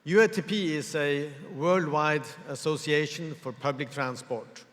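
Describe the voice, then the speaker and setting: A man with a voice pitched a little high for a man speaks formally to a conference audience, measured and pausing often as he searches for words. His voice rings through a large hall with a long, trailing reverb. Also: fairly bright tone. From Norway.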